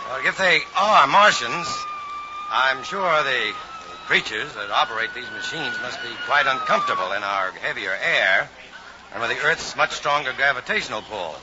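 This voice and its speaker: An actor playing a thoughtful, hesitant astrophysics professor in a 1950s-style radio drama, on a noisy old recording. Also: male voice, medium pitch, wildly swooping pitch, moderate pace, muffled recording. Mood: hesitant, earnest. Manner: hesitant, speculative.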